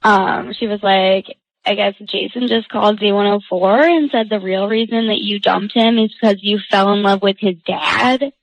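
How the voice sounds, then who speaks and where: Young female call participant, gravelly fry, close dry sound, slangy and frank. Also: mid-range voice, normal speed, no echo, poor audio. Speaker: person phoned by a radio show. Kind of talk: casual phone conversation.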